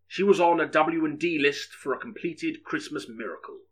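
Husky, bright-voiced male narrator. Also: a little high, normal speed. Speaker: audiobook narrator. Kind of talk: dramatic scripted story narration.

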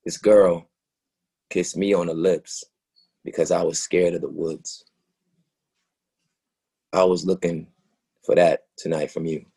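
An actor playing a vulnerable young city man confessing to his lover in a play reading, halting and earnest. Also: low-pitched, flat delivery, creaky, warm tone, husky, exaggerated voice. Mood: hurt, pleading. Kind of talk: dramatic monologue in a staged play reading.

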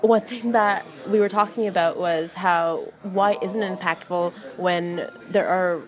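A woman in her twenties, a comedian talking fast and fired-up in a casual podcast chat, with lots of "like". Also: on the low side, steady tone. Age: 20s. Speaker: guest, comedian. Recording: crowd chatter behind.

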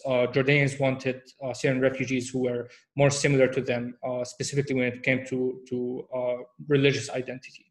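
Even-toned man explaining research. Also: mid-range voice. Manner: measured, with frequent fillers and restarts. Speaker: researcher guest. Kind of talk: academic interview answers.

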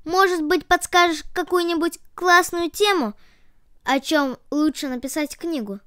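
A woman voicing a curious, excitable male rabbit in a children's story. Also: very high-pitched, measured pace, no room sound, studio quality, voice pushed up. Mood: eager. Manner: eager, lively questioning.

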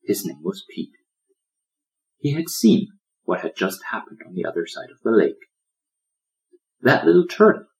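A man narrating a children's story as an audiobook, in a dramatic, expressive storyteller's manner. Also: on the low side, poor audio. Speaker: author reading own audiobook.

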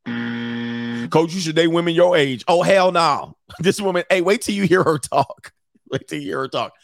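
A man's voice: on the high side, normal speed, gravelly, dry recording, clean studio sound. A man in his forties hosting mocking reaction commentary, brash and slangy, laughing often. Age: 40s. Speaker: commentary host.

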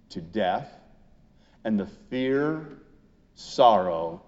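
A man delivers a sermon in the role of a pastor. He speaks very slowly at a medium pitch, stressing phrases and leaving deliberate pauses. The sound is slightly dull, with long reverberation from a large hall. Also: mellow. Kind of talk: Easter sermon, reading and expounding scripture.

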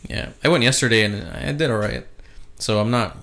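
A man's voice: mid-range voice, a little fast, gravelly, pushed and bright. A man hosting a casual podcast chat, laid-back, swearing often and laughing easily. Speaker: podcast host. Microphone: podcast mic.

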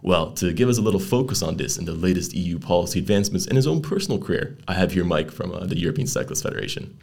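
A young man hosts a casual podcast, rattling along at a quick pace. His low voice is gravelly and a little husky, and it swings widely in pitch as he tosses in playful quips. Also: lively intonation, darkish tone. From Netherlands. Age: young adult. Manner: chatty, playful asides and quips. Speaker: podcast host.

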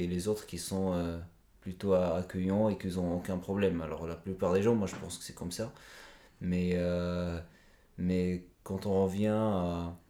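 Male podcast guest, low and warm, earnest, thinks aloud at length, recorded in a roomy space. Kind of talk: conversational interview.

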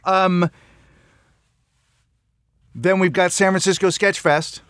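A man's voice: sits high, steady tone, takes it slowly, very noisy. A middle-aged American man co-hosting a comedy podcast with casual banter and show plugs, profane and self-deprecating.

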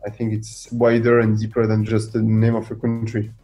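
Radio guest: middle-aged French-accented man, mellow and lively, fond of rhetorical questions. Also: lowish voice, moderate pace, soft background music. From France. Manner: animated, fires rhetorical questions, acts out quoted voices. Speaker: guest artist. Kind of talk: radio interview.

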